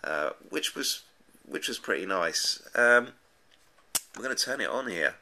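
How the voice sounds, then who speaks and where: A man's voice: fairly low pitch, lively intonation, unhurried, sharp tone, a little hiss or hum. A man giving a casual, unscripted app review in a hesitant, rambling way, often repeating his words. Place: indoors at a desk, off screen.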